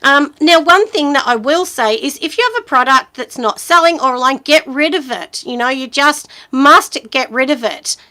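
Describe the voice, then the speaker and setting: A woman in her forties with a husky voice, hosting a casual live chat and giving friendly business advice, with lots of ums and you-knows. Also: high-pitched, expressive, normal speed, hardly any pauses, pushed and bright. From Australia. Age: 40s. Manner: chatty and encouraging, many ums and you-knows.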